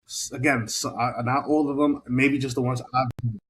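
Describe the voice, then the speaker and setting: Husky guy on a podcast, kinda stumbling over his words, slangy. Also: mid-range voice, steady tone, a bit bright. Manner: halting, repeats phrases, slangy. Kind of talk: casual podcast chat.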